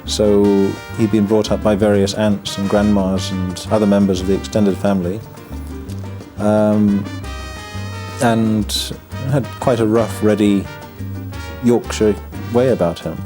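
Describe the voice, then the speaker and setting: A Buddhist monk, a man from the north of England, talks in a low, unhurried voice about his life. He is reflective and halting, restarting words often and slipping in dry humor. Clear music plays behind him. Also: flat delivery. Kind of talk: documentary interview. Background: background music.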